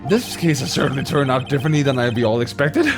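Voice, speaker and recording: A man voicing an elderly male courtroom judge in a comic game reading, speaking ponderously and haltingly. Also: mid-range voice, animated, music in the background, voice pushed down, natural voice. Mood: grudging. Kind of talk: comic amateur video game dramatic reading.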